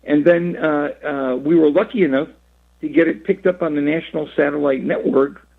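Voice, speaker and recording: A man in his sixties from Rhode Island, a radio interview guest who talks in a halting way with many fillers and restarts, heard over a phone line. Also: on the high side, steady tone, vocal fry. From Rhode Island, USA. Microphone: phone call.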